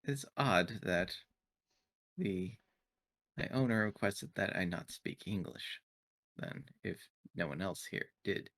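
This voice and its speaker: A man playing a formal, deferential servant woman in a tabletop game, speaking politely and with measured words. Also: low-pitched, expressive, a bit bright, no room sound, full character voice.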